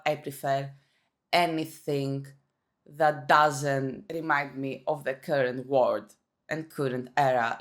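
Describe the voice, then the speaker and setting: A young woman with a Greek accent answering viewer questions in a casual, chatty vlog, often restarting her sentences. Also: sits low. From Greece. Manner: chatty, self-conscious, with frequent restarts and fillers. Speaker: YouTuber. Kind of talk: casual Q&A chat.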